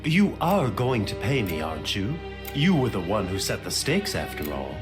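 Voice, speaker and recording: A man's voice: on the low side, huge pitch swings, normal speed. A man playing a smug, pompous nobleman gambler in an anime audio drama, taunting and condescending. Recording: studio voice over clear background music.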